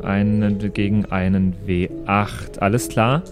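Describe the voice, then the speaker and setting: A man's voice: on the low side, fairly even intonation, takes it slowly, music in the background. A man playing a tabletop game, chatting casually and hesitantly, often repeating himself. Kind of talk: casual improvised game chat.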